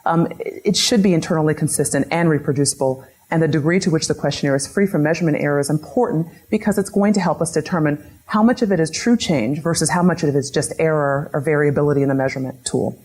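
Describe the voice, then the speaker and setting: A woman's voice: low voice, quick pace, few pauses, darkish tone, slightly rough. A woman giving an academic lecture, methodically posing a string of questions to her audience. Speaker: lecturer on patient-reported outcomes. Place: lecture room.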